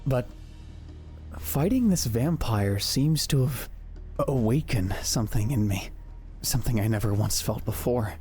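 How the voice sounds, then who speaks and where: A man's voice: mid-range voice, lively intonation, a little slow, darkish tone, faint music behind, natural voice. Man as a wry young anime hero narrator. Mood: wry, narrating. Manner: casual, wry. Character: young male hero-healer adventurer, sardonic, easily flustered. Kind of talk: anime-style manga dub acting and first-person narration.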